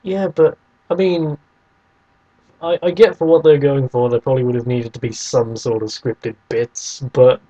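A man's voice: medium pitch, fairly even intonation, normal speed, warm tone, highs cut off. A man co-hosting a podcast, casually arguing about video games and restating his points over an internet call. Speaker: podcast co-host.

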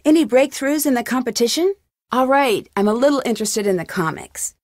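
An actress performs a young woman detective for a video game, her voice on the high side and recorded dry. She is inquisitive and level-headed, putting questions in an earnest, probing way. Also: lively intonation, brisk, dry recording, close to an everyday voice. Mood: curious, friendly. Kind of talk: video game voice acting, isolated dialogue lines.

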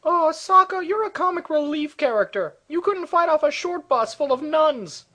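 Woman as high-voiced, sarcastic teenage cartoon girl. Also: lively intonation, normal speed, fairly bright tone. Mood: dry teasing. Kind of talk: comedic cartoon parody dub.

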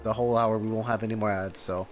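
A man's voice: lowish voice, steady tone, fast. A young man streaming a video game, reacting casually and rambling in loose asides. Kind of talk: casual live gameplay reaction. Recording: light game music behind. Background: video game music.

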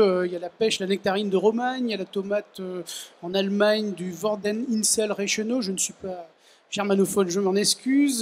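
High-voiced male panel host. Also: slight background noise. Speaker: host, moderator. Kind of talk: moderating a panel.

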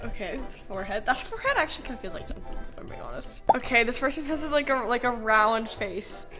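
Giggly high-voiced young woman vlogger. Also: big pitch swings, over music. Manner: giggly, dramatic, quick reactions. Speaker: vlogger blindfolded in a challenge. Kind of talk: excited casual chat. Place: bright living room indoors.

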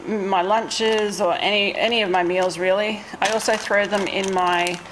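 A woman's voice: medium pitch, steady tone, unhurried, noisy background. A woman in her thirties with an Australian accent, chatting informally and unscripted as a vlogger. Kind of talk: casual chat, narrating a grocery haul.

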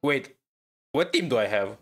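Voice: mid-range voice, expressive, unhurried, fairly bright tone. A gaming streamer giving casual live commentary, reacting aloud and talking to themselves while playing. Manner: reacts aloud, mutters to self. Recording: game music under the voice. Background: game music and combat audio.

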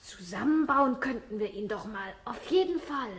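An excitable high-voiced schoolboy. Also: female voice, normal speed. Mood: cheeky, coaxing. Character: excitable, cheeky schoolboy son. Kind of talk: 1970s German radio play acting. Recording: vintage radio recording, clearly noisy.

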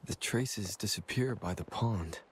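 A man's voice: fairly low pitch, a little slow, slight background noise, natural voice. An actor voicing a young male game hero, observant and terse, with matter-of-fact delivery. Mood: watchful. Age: young adult. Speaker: game protagonist. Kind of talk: scripted game dialogue.

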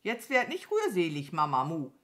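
A woman's voice: a little high, very animated, a little slow, fairly bright tone, voice pushed up. A woman voicing a grumpy, contrary, boastful crow in a children's storybook, with a snappy, grumbling manner. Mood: irritated.

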